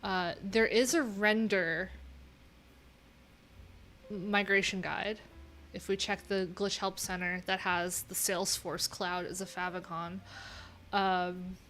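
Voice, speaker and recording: A woman streams from a small room at home with faint noise behind her. Her voice sits at an average pitch. She talks in an offhand, wry way, thinking aloud as she goes through what is on her screen. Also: flat delivery, slow pace, long pauses, a bit bright. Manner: offhand, wry, chatty asides while reading screen. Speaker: livestream host and web developer. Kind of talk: casual live coding stream chat, thinking aloud.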